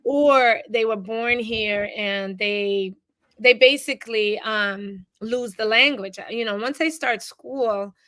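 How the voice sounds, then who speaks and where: Bright, dry-voiced young woman guest. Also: on the high side, takes it slowly, no room sound. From Boston, USA. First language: Cape Verdean Creole. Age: young adult. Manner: earnest, reflective, many "you know" fillers. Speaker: podcast guest, author and teacher. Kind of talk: casual interview chat.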